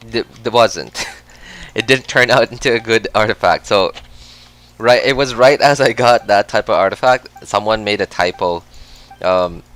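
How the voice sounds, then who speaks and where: A man's voice: on the low side, a bit bright. A man from the Philippines chatting casually on a game live stream, answering his chat and laughing often.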